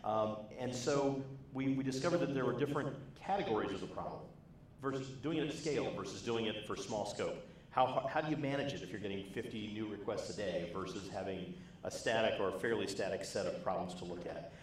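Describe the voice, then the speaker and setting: A man in his forties summarizing a discussion at a conference into a handheld mic, measured and posing questions as he goes. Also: lowish voice, a little hiss or hum. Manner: measured, thinking aloud in questions. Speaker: conference session presenter. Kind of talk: short summary talk. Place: large conference room. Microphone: handheld microphone. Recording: very echoey room.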